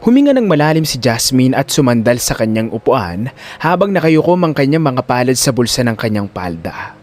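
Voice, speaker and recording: A man's voice: medium pitch, very animated, moderate pace, a little mellow, faint music behind. A man narrating a scripted audio drama in a dramatic storytelling style.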